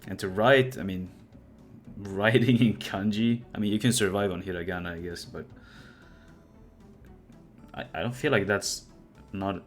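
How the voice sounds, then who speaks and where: Young male host, very low voice, rambling casual chat with faint music underneath. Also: unhurried. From Sweden. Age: young adult. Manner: rambling, thinking aloud with many restarts.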